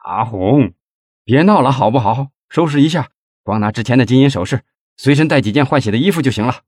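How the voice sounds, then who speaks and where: Male narrator as low-voiced troubled husband. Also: fairly quick, darkish tone, no echo, studio quality, close to an everyday voice. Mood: impatient, urgent. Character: troubled, anxious husband on the run, decisive. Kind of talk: audiobook character dialogue.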